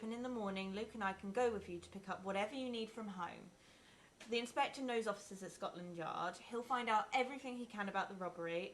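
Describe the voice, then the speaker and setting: A woman playing a dry-witted investigator and reading stage directions at a live sitcom table-read. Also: on the high side. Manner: dry, deadpan wit. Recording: live theatre with faint noise.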